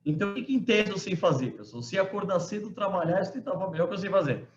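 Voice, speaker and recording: A man in his forties delivers a law lecture over a video call, talking conversationally and tossing in little tag questions. His voice sits a bit high. The sound is roomy and somewhat muffled, with faint ambience underneath. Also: expressive, very fast, slight background noise. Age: 40s. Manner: conversational, frequent tag questions, emphatic quoting. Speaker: law professor giving a lecture. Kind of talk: online lecture to students. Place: home room. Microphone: computer mic on a video call.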